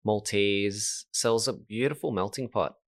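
Very deep-voiced Australian man. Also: moderate pace. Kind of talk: casual chat.

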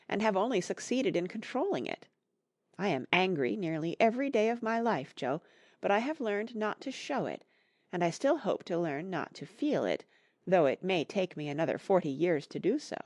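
A woman voicing a gentle, patient middle-aged mother in a classic novel, giving soft, measured counsel. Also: lowish voice, very animated, no room sound, clean studio sound. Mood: confessing, calm. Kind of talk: acted audiobook dialogue from a classic novel.

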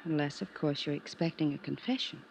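A woman's voice: low-pitched, brisk, gravelly, darkish tone. An actress playing a lonely, wistful and guarded young woman in a 1950s radio drama. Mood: defensive, troubled. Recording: noisy, muffled 1950s radio recording.

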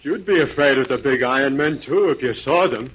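A husky, naive male farmhand acted by a man in an old radio drama, timid and halting with rustic phrasing. The 1940s recording is thin and phone-like. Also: medium pitch, lightly acted. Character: simple, fearful male country handyman.

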